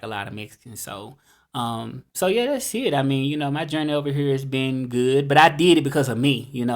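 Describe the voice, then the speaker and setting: A man in his thirties with a Southern US accent, talking casually to camera in a rambling vlog full of fillers and restarts. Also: medium pitch. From United States. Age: 30s. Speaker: vlogger. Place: home in Mexico, dogs barking outside.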